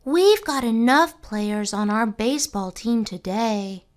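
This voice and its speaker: A confident schoolgirl calls out in a bright, very high voice, eager and a little bossy. A man narrating a children's story voices her at a slow pace. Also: lively intonation.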